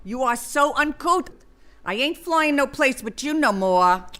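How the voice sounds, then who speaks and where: A woman's voice: high voice, expressive, a little slow, pushed and bright, full character voice. A woman playing a nagging, unimpressed vulture in a comic audio play, sardonic and complaining, with a New York accent. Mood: indignant. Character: brassy, nagging, unimpressed female vulture, squabbling mate.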